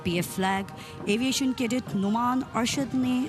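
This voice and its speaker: Pakistani lady doing formal ceremony commentary, measured delivery, music playing behind her. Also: a little high.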